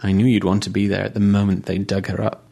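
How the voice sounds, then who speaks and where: A man's voice: lowish voice, completely flat delivery, fast talker, vocal fry, warm tone. A man voicing a practical, businesslike woman who speaks in a matter-of-fact way. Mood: brisk.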